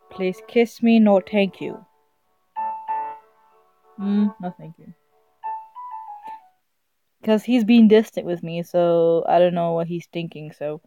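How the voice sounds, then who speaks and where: Woman game streamer, middle pitch, giggly, casual read-aloud playthrough, stumbling, music behind. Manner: giggly, amused, often stumbling over reading. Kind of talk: casual live playthrough, reading visual novel text aloud. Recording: slightly dull sound, game music behind.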